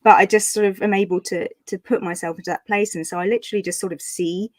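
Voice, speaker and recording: A woman's voice: medium pitch, steady tone, quick pace, dry recording. A woman chatting casually about her writing as an author at a live online event, in a hesitant, giggly way. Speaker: author hosting online book launch. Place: live online video call.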